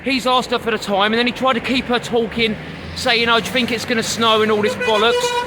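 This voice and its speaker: A man's voice: very high voice, lively intonation, brisk. A man with a London accent talking casually to his camera while he walks, addressing viewers as guys, with lots of uh fillers and getting a little out of breath. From London, England. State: walking, gets out of breath. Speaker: vlogger and comedian. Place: outdoors on a busy city street. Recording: street noise and passing vehicles. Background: street traffic.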